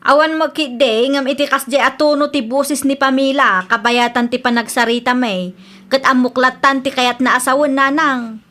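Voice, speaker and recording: A brash, quarrelsome young daughter, acted by a woman in an Ilocano comedy radio drama. Her voice is high and bright, with an Ilocano Filipino accent and a proud, neighborly feuding manner. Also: fairly even intonation, normal speed. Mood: boastful, scheming.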